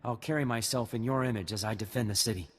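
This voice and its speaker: A man voicing an earnest, respectful young militia recruit in a video game, with a husky voice. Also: mid-range voice, fast talker, big-room sound, lightly acted. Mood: respectful, grateful.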